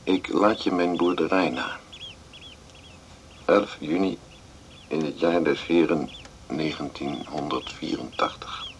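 A dying farmer speaking his will onto a faintly noisy tape. The voice is very deep and creaky, slow and solemn, full of love. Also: steady tone, heavily acted. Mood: solemn, tender. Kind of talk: radio drama acting. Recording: hissy cassette tape with birds.

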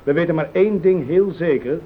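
An actor playing a sceptical, formal police officer in a radio play, measured and insistent, with a Netherlands Dutch accent. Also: male voice, on the high side, close to an everyday voice. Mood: grave, firm. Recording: muffled, noisy old radio recording.

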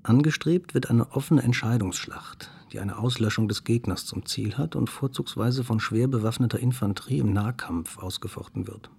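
A man reciting a literary radio-play monologue in a measured way, husky and gravelly, at times nearly whispering. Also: medium pitch, darkish tone. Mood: grave, didactic.

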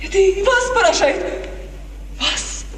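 Very high-voiced, girlish young bride. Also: animated, slow, background noise, exaggerated voice. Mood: coquettish, tender. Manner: girlish, lilting, theatrical delivery. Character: tender, innocent young bride, dreamy and playful. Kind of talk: 1950s radio theatre acting. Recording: 1950s radio recording, echoey hall, distant.

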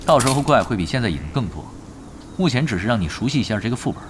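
A man's voice: medium pitch, big pitch swings, racing, background noise, close to an everyday voice. An actor playing a patient, teasing veteran gamer who coaches his team in an audio drama. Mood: instructive. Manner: instructive, drily teasing. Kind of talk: Chinese radio drama acting.

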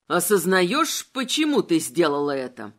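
A woman voicing a cold, manipulative male immortal villain in an audiobook, insinuating and mocking in an unhurried way. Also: fairly low pitch, very animated, voice pushed down.

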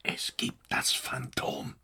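A man's voice: slow pace, pushed and bright. A man acting a fearful, secretive outgoing opera director who gives formal, urgent warnings in a German radio drama. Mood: hushed, urgent.

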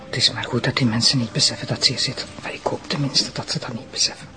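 A woman's voice: rumbling low, steady tone, quick pace, noisy background. Earnest Flemish woman prosecutor. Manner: polite, probing. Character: earnest, assertive female deputy prosecutor. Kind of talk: radio drama acting.

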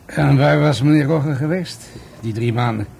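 Man playing a polite, creaky-voiced detective. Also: medium pitch, darkish tone, a little hiss or hum, natural voice. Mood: probing, calm. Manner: courteous, measured questioning. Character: polite, probing police detective on a visit. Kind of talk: Dutch radio play acting.

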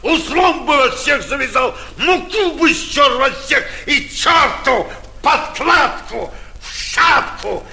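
An actor playing an outraged, humiliated old provincial mayor in a theatrical, exclamatory 1940s Russian stage style. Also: male voice, very high-pitched, expressive, slow, vocal fry, pushed and bright, exaggerated voice. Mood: raging, bitter. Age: older. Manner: theatrical, exclamatory, self-berating. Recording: 1949 theatre radio production.

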